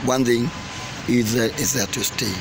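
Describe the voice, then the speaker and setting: A man in his forties giving opinionated news commentary to camera, halting and emphatic, repeating his phrases, recorded outdoors at arm's length. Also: mid-range voice, steady tone. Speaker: vlogger. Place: outdoors by parked cars and trees. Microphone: phone or camera at arm's length. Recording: noisy outdoor recording with traffic ambience. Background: outdoor ambience and vehicles.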